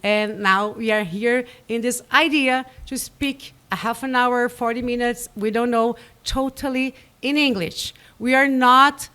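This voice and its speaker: A woman's voice: fairly high pitch, fairly even intonation, measured pace, hardly any pauses, bright, forceful tone, clear, resonant tone. Brazilian woman hosting a radio show, eager. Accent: Brazilian Portuguese. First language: Portuguese. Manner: enthusiastic, inviting, a little nervous. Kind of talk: casual live studio chat. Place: radio studio.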